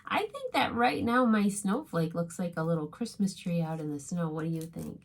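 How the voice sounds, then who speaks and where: A woman's voice: fairly low pitch, expressive, moderate pace. A woman teaching a craft step by step to young children, speaking as a preschool teacher in simple, encouraging phrases.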